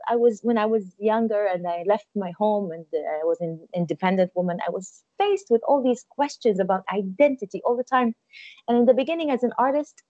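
A woman's voice: on the high side, no echo, lo-fi sound. A woman in her forties from Israel, a musician and actress, answering interview questions in a thoughtful way with frequent restarts. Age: 40s. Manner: thoughtful, warm, with soft laughs and frequent restarts.

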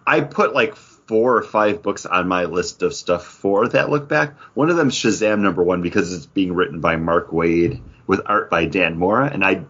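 A man's voice: deep voice, normal speed, few pauses, a bit bright, husky. A man co-hosting a comics podcast in casual chat, wry and talkative, with lots of "like". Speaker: podcast co-host. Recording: dull lo-fi podcast audio.

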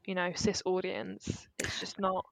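A woman's voice: lowish voice, little pitch movement, vocal fry, no room sound, low-quality recording. A woman co-hosts a film podcast in a chatty, emphatic way, circling back and restarting her thoughts as she talks. Her voice sits mid-range and sounds dry and close to the mic. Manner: chatty, emphatic, quick to laugh. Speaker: podcast co-host. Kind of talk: casual film discussion.

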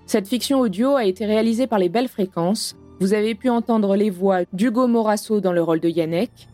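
A woman reading scripted closing credits as an announcer, in a measured, formal way. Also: mid-range voice, mellow, dry recording. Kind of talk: scripted narration in French. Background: music.

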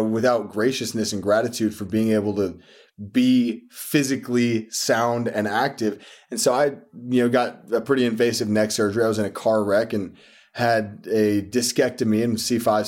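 A man's voice: medium pitch, a little mellow. A man, a fitness coach, telling stories earnestly in a casual interview, often restarting his sentences. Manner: earnest, rambling, frequent restarts.

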